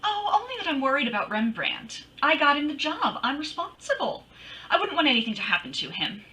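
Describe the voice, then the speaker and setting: A woman's voice: sits high, very animated, brisk, bright, forceful tone, exaggerated voice, low-quality recording. A woman playing a wry, confident, wisecracking private detective in old-time radio style, breezy and flippant. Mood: concerned, brisk. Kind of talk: 1940s radio acting, narration and dialogue.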